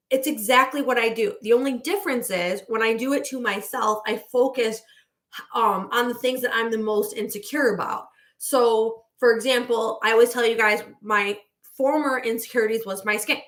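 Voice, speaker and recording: A woman hosting a casual livestream as a manifestation coach, talking warmly to her viewers and checking in with "okay?" as she goes. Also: on the high side. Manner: effusive, repeating "okay?" and reading out viewer comments.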